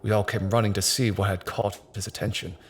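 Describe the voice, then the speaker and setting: A man's voice: fairly low pitch, level tone, brisk, a little hiss or hum, natural voice. An actor playing a grave, hesitant construction company man recalling something grim in an audio drama. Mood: grave, measured. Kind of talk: naturalistic audio drama dialogue, recounting in an interview.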